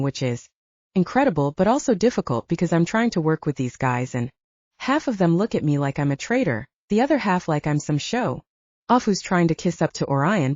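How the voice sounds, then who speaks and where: Woman voicing an earnest, animated teenage brother, dull recording. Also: fairly low pitch, no room sound. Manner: earnest, blurting, awkward. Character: big, earnest, insecure teenage younger brother. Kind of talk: audiobook character dialogue. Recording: dull, slightly muffled audio.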